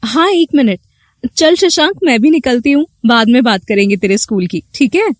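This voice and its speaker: A woman's voice: fairly high pitch, lively intonation, moderate pace, no echo, clean studio sound. A woman playing a lonely, affectionate young wife in a dramatized story. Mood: cheerful.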